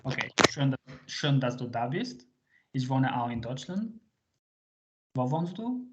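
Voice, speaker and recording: A man's voice: medium pitch, sing-song, unhurried. A man teaching a live online language lesson to a small group, patient and full of small fillers as he switches between English and Albanian. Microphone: video call. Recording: slightly muffled video call audio.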